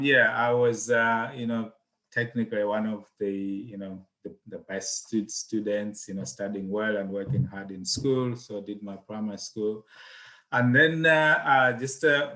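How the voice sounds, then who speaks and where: A man's voice: on the low side, fairly bright tone, poor audio. A middle-aged man from Malawi, telling his story in an interview with many fillers and restarts. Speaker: guest, accountant and property investor. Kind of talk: conversational interview.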